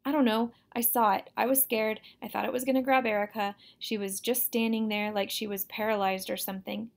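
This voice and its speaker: A woman's voice: a little high, level tone, brisk, gravelly. Woman as frightened young boy, urgent, blurting, protective, insistent, audiobook character. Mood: scared, blurting.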